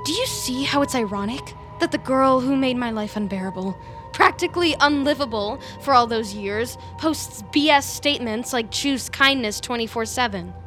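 Actress as wounded, obsessive young woman: high voice, sarcastic, insistent, wordy; audio drama with faint music behind. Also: moderate pace, fairly bright tone, close to an everyday voice. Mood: bitter, incredulous. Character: intense, wounded, obsessive young woman nursing old grudges. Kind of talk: audio fiction drama acting.

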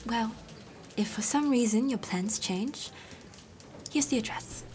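A woman's voice: on the high side, slow pace, a little mellow, a little hiss or hum. An actress playing a friendly, forward young Chinese woman who is new at an office, in a naturalistic audio drama. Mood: friendly, coaxing.

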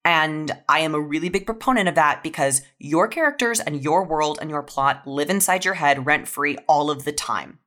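A woman's voice: sits low, moderate pace, hardly any pauses, fairly bright tone. A woman speaking as a writer guest in a casual panel discussion, emphatically repeating words for stress. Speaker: podcast guest, audio fiction writer.

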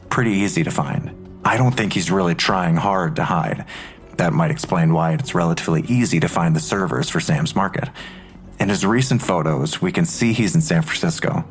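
A man's voice: low-pitched, moderate pace, soft background music, lightly acted. An actor playing a matter-of-fact male investigator methodically laying out a case in an audio drama.

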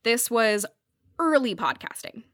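A woman's voice: a little high, lively intonation, measured pace, no echo, clean studio sound. A woman guest on a podcast, explaining pop culture in an enthusiastic, conversational way.

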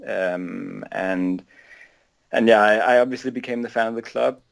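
A man's voice: lowish voice, moderate pace, gravelly. A man in his twenties from Denmark, a native Danish speaker, chatting casually in English as a podcast guest, hesitant and often repeating words. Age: 20s. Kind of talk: casual interview chat.

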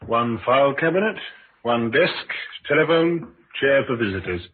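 An actor playing an eager, deferential police sergeant in an old radio drama, brisk and respectful. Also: male voice, mid-range voice, expressive, lightly acted. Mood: keen. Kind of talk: 1950s radio drama acting. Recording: tinny, noisy old radio recording.

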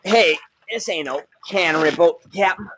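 An actor playing an eager, tough sidekick with plain slangy talk in a 1940s radio drama. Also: male voice, high-pitched, normal speed, pushed and bright. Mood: curious, game. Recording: faintly noisy vintage radio recording.

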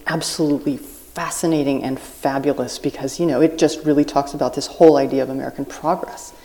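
A woman guest speaks at a live podcast taping on a festival stage. Her voice is low and mellow. She explains her point earnestly through an extended metaphor. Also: fairly even intonation, brisk. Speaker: guest writer.

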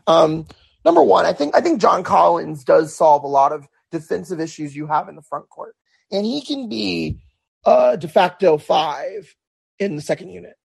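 Podcast host, male, hoarse; raspy, heavy fry, easygoing solo commentary. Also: sits high. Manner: conversational, repeats words and restarts often.

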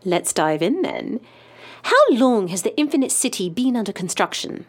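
A woman's voice: mid-range voice, very animated, normal speed. A woman playing a probing news reporter in an audio drama interview, polite but pointed and pressing. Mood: polite, brisk.

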